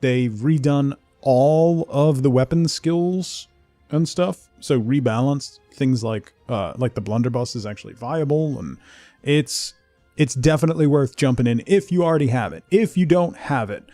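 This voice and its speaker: A man's voice: medium pitch, a little slow, mellow, no echo, faint music behind. A middle-aged man streaming a game, chatting casually with viewers and muttering to himself in between. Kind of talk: casual chat while playing a game, replying to viewers.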